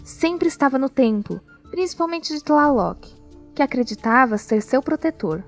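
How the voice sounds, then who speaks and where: A woman narrating a scripted audio drama as a storyteller, with measured, deliberate phrasing. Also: high voice, expressive. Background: music.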